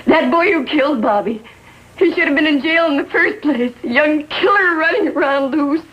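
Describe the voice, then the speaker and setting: A woman's voice: high-pitched, moderate pace, natural voice. A woman playing a bitter, grief-stricken American mother in a 1950s TV drama, speaking in anguished, accusing tones. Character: bitter, grief-stricken mother blaming police for son's death. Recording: dull vintage TV soundtrack.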